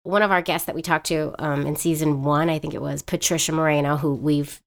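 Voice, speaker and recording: A woman with a somewhat low voice co-hosts a podcast in casual conversation. She speaks earnestly, stopping and restarting and repeating words as she works through her thoughts. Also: steady tone, brisk, vocal fry. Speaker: podcast co-host.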